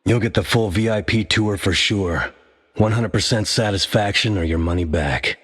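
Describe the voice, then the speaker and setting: A man's voice: on the low side, moderate pace, a bit bright, long reverb tail, natural voice. A man playing a sardonic soldier with a metal arm. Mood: dry. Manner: dry, sardonic quips. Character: wry battle-worn male soldier with a metal arm. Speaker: video game hero.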